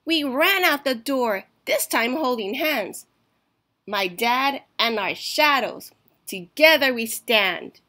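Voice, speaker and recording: A woman reading a rhyming children's picture book aloud in a deliberate, expressive storytelling way. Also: sits high. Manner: slow, deliberate, emphatic storytelling. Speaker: storytime reader.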